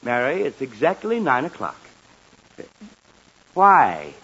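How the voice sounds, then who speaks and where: A man's voice: medium pitch, wildly swooping pitch, slow pace, pushed and bright, slightly rough, full character voice. An actor playing a flustered, exasperated middle-aged father in an old radio sitcom, blustery and sputtering. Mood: weary patience. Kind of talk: 1940s radio sitcom acting. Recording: muffled, hissy old radio recording.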